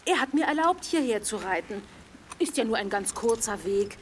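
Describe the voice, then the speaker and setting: A woman's voice: on the high side, animated, moderate pace, slight background noise, natural voice. A woman playing a chatty, eager servant who gathers herbs, deferential and given to muttering, in a radio drama. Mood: modest, chatty.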